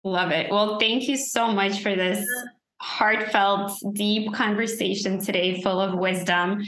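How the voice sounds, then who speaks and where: A woman's voice: mid-range voice, fairly even intonation, a little slow, a bit bright, roomy sound, slight background noise, rough recording. A woman hosting a podcast, upbeat and encouraging as she asks questions. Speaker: podcast host. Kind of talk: casual interview chat.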